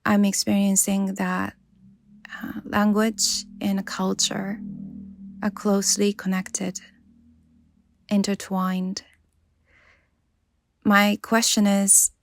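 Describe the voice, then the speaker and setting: Slow, even Japanese-accented woman. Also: medium pitch, gravelly, no room sound. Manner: careful, measured reading. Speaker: listener asking a question. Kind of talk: recorded voice message.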